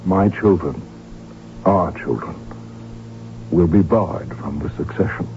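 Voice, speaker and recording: Casting: desperate, proud imperial heir, very deep, formal and intense, vintage radio drama sound. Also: male voice, slow pace, faint music behind. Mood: tender, urgent. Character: proud, desperate, defiant middle-aged male Habsburg heir. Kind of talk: 1970s radio drama acting. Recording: tinny, humming old radio recording.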